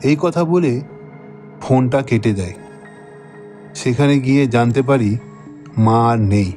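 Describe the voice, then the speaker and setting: A man narrating a scripted horror story in the first person, in a measured, dramatic manner. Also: mid-range voice, a little mellow, faint music behind.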